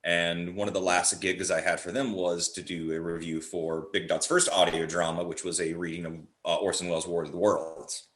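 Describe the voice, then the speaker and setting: A man with a fairly low voice, recorded in a roomy, echoing space. He chats casually and wanders through his thoughts in a jokey storyteller's way, as a guest on a podcast. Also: level tone, normal speed, hardly any pauses, a bit bright. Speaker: guest, horror playwright. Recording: roomy, echoey sound.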